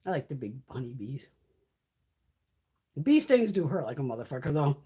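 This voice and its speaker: A woman's voice: very deep voice, very animated, normal speed, dark tone, slightly rough. A middle-aged woman from Connecticut hosting a beauty live stream, telling stories in a casual chat, swearing freely and laughing often, her voice starting to wear out. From Connecticut, USA.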